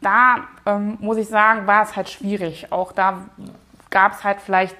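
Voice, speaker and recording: Female, thirties, mid-pitched; casual interviewee who hedges and thinks out loud. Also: level tone, measured pace. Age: 30s. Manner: informal, hedging, thinking aloud. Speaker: company founder and managing director, interview guest. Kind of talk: casual interview answers, looking back.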